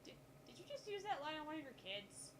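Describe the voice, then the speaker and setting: A woman's voice: high voice, sing-song, fast talker. A woman chatting casually as co-host of a gaming channel. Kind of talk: casual chat. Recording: noticeable background noise on home mic.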